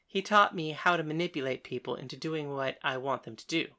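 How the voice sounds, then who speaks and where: A woman's voice: low voice, fairly quick, sharp tone, pitched below the performer's own voice. A woman voicing a confident, bossy young woman at a poker table in an audiobook story, cool and teasing as she instructs the others. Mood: smug, instructing.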